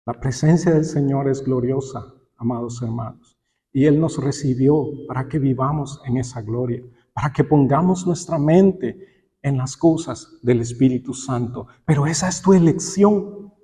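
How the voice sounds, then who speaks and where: A man's voice: mid-range voice, measured pace, warm tone. A man preaching a sermon, putting questions to his listeners and acting out bits of dialogue as he goes. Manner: acts out imagined dialogues and quotes; rhetorical questions to the congregation. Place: church hall, preaching aloud to congregation. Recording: long echo of a large hall.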